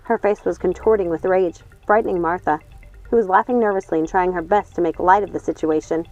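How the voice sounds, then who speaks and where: A woman reading a story aloud as an audiobook host, in an expressive narration style. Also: on the low side, fairly quick, no echo, dull audio. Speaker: audiobook reader and channel host. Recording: music behind the voice. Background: background music.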